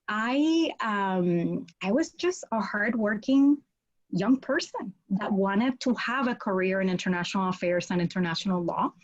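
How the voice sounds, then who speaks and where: A woman from Puerto Rico whose first language is not English, speaking earnestly as a guest on a video call from a home office. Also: medium pitch. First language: Spanish. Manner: earnest, animated, gestures a lot with her hands while talking. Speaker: law school dean, guest. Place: home office with bookshelves, indoors. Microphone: video call, webcam. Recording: dull lo-fi webcam audio.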